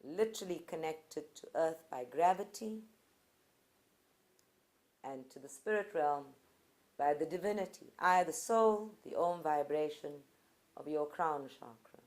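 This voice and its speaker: A woman guides a group meditation in a fairly low voice. Her delivery is very slow and deliberate, with short phrases set apart by pauses. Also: a little mellow. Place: live group session at a centre.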